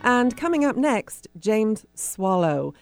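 A woman's voice: fairly high pitch, sing-song, takes it slowly, darkish tone, faint music behind. A woman hosting a radio talk show in a conversational interviewing style.

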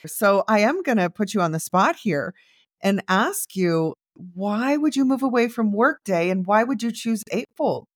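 A woman's voice: mid-range voice, lively intonation, measured pace, no echo, studio quality. A woman co-hosting a recruitment podcast, asking direct, friendly interview questions. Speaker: podcast co-host.

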